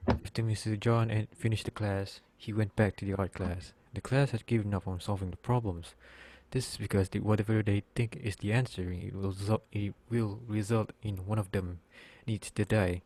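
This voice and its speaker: A man reading scripted story narration as narrator, halting now and then as he slips over words. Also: fairly low pitch, fairly even intonation, a little mellow. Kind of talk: scripted narration, read aloud.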